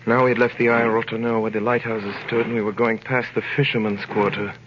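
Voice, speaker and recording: A man acts a young sailor wrongly condemned, recounting his ordeal in a low, solemn, theatrical voice. It is 1930s radio drama, with a muffled sound and plenty of noise. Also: level tone, quick pace, natural voice. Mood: grave, wistful. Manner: grave, dramatic, theatrical delivery. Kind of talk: 1930s radio drama acting and first-person narration. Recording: noisy, muffled 1938 radio broadcast.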